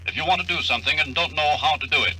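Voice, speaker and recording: An actor voicing a talking computer with a formal announcer delivery in a 1950s radio drama. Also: male voice, fairly high pitch, racing, lightly acted. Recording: old radio recording with faint hum.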